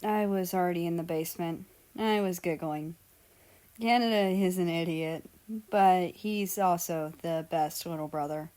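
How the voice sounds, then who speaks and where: Slow-paced woman reading a story. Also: fairly low pitch, a little mellow. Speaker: fan fiction reader. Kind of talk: first-person story narration.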